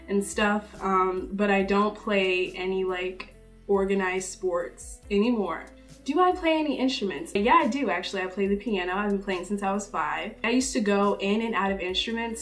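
A woman's voice: medium pitch, a bit bright, distant mic. A woman in her twenties talking casually to camera in a get-to-know-me vlog, upbeat and chatty with lots of "like" fillers. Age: 20s. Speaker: YouTuber. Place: indoor room, seated before purple backdrop.

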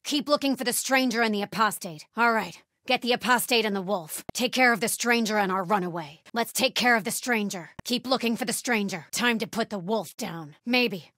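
An actress playing a grim, vigilant cultist hunter in a video game, giving terse callouts in a husky voice. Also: female voice, a little high, a bit bright, no room sound, clean studio sound. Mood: grim resolve. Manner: clipped, terse. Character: grim, vigilant female cultist hunter stalking her enemies.

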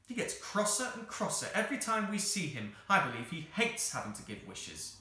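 A blunt young girl from a storybook, played by a man reading aloud. She grumbles and questions doubtfully in a raised, bright voice. The room echoes heavily around it. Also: sits high, moderate pace, pitched above the performer's own voice, heavily acted. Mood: glum, doubtful. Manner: flat grumbling and eager questioning.

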